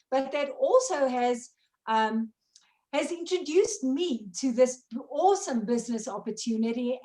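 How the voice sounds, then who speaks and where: High-voiced woman hosting. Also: darkish tone. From South Africa. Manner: enthusiastic, friendly introductions. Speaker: host. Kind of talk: hosting a business video call. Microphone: video call.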